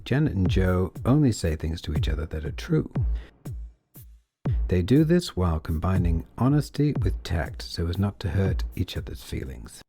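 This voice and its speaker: A man reading scripted narration for an audio play in a measured, deliberate way. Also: deep voice, very animated, warm tone. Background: music.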